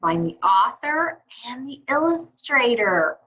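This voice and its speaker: A woman with a high, bright voice reads a picture book to small children. Her delivery is expressive and very lively in pitch, with an unhurried, teacherly way of putting questions to her listeners. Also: breathy edge, rough recording. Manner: teacherly, asks questions and answers them. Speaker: read-aloud storyteller for young children.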